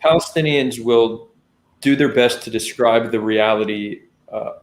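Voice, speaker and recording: Man guest on a podcast, calm and measured, roomy echo. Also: medium pitch. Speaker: guest, founder of a dialogue channel. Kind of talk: conversational podcast interview.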